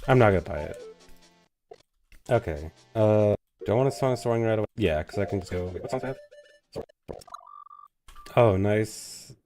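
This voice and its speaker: A man's voice: lowish voice, moderate pace, pauses often, a little mellow, faint music behind. A man streaming a game live, getting over a cold and muttering to himself as he plays. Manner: mutters to himself while playing. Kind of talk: casual live-stream commentary.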